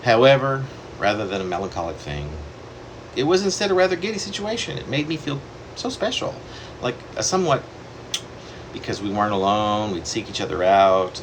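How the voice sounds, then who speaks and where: Deep-voiced man reading his own poem. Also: noisy background. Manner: wandering, self-correcting delivery with sudden pitch leaps. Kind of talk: poetry reading.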